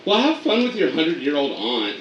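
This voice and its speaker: A man's voice: fairly high pitch, huge pitch swings, a little fast, a bit bright, roomy sound, recorded from a distance, lo-fi sound, slight background noise, voice pushed up. A man voicing a young woman nursing student who talks in a bubbly, teasing way. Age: young adult.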